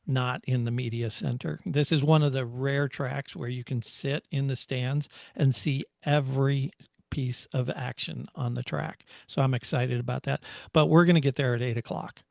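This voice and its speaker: A man talking casually as a podcast host, often halting and restarting his sentences. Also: medium pitch, creaky, no room sound, studio quality. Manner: halting, false starts. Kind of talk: casual chat.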